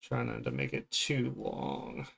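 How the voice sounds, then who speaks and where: A man's voice: mid-range voice, little pitch movement, takes it slowly, creaky, fairly bright tone. A man chatting casually on a livestream as he draws, thinking aloud in a playful, self-deprecating way. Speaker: streamer and illustrator. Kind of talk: casual livestream chat while drawing.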